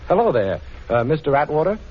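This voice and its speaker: A man's voice: fairly high pitch, sing-song, fairly quick, background noise. A man acting a cool, wisecracking amateur sleuth in a 1940s radio drama, breezy, teasing and commanding, with an American accent. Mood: polite, breezy. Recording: muffled 1940s radio broadcast recording.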